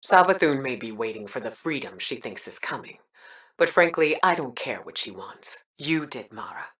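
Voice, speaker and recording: An actress playing a stern, principled military commander in a video game, speaking formally and deliberately with a measured, weary air. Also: female voice, a bass voice, expressive, a bit bright, recorded from a distance. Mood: firm, pained. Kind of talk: dramatic video game voice acting.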